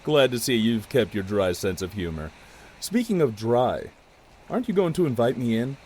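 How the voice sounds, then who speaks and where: A man's voice: medium pitch, sing-song, moderate pace, darkish tone, a little hiss or hum, close to an everyday voice. A man playing a genial, self-assured surgeon and old family friend in an audio drama, familiar and lightly teasing. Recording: rainy ambience behind.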